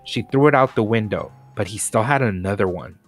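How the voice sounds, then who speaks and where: A man's voice: fairly low pitch, a little fast. Man reading a children's story over faint music. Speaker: storybook reader. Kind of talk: scripted children's story narration.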